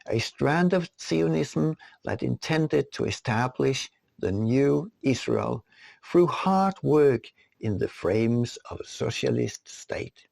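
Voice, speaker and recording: A man narrates a scripted audiobook at a slow, deliberate pace. His voice is mid-pitched with heavy vocal fry. He phrases things rhetorically, as an author reading his own writing. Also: darkish tone, dry recording.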